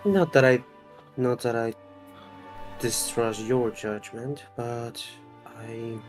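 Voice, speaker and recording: A man chats as a tabletop player in a halting, tentative manner. His voice sits at a middle pitch, with faint music behind it. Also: fairly even intonation. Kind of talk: casual game chat. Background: faint background music.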